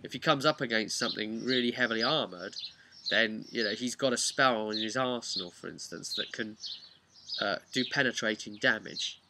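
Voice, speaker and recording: A man speaking as a game master, casually recapping a tabletop role-playing session in a halting, conversational way with emphasis on key words. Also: fairly low pitch, background noise.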